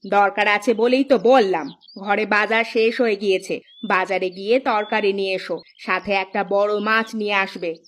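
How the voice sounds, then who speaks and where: A woman's voice: mid-range voice, fairly even intonation, moderate pace, close to an everyday voice. A woman voicing a quarrelsome, touchy village wife in a cartoon, insistent and scolding. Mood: bossy, insistent. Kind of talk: Bangla cartoon voice acting.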